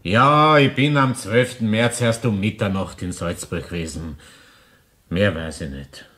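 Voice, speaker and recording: An actor playing a bitter, woman-hating killer in a crime radio drama, confessing deliberately in Viennese dialect. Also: on the low side, fairly bright tone. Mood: insistent. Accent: Viennese Austrian. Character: confessing opera-house murderer, brooding, bitter woman-hater in his thirties.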